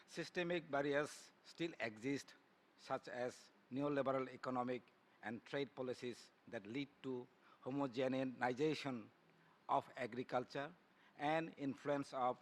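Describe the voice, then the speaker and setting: Bangladeshi man reading a formal speech, halting. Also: medium pitch, fairly bright tone. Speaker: civil society spokesperson. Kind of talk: formal prepared speech read aloud at a plenary. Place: conference plenary session.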